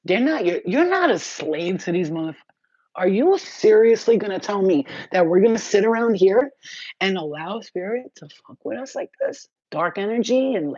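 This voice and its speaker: A woman's voice: mid-range voice, a little mellow, breathy edge, dry recording, highs cut off. A woman hosting a spiritual healing livestream, talking bluntly and fervently, swearing freely, and reading out viewers' comments. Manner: addresses viewers directly, reads their comments aloud. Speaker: spiritual healer livestream host. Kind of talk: spontaneous spiritual livestream talk.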